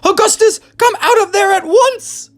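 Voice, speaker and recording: A woman's voice: extremely high pitch, moderate pace, faint music behind, pitched above the performer's own voice. Piercing, hysterical shrieking mother. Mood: panicked shrieking. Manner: screaming, scolding. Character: shrill, hysterical, indignant mother.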